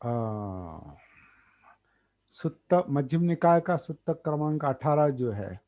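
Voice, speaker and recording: A man gives a dharma talk, thinking aloud, pausing often and repeating key words. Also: a little high, lively intonation. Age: 60s. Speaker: meditation teacher. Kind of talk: slow explanatory dharma talk. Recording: dull lo-fi recording.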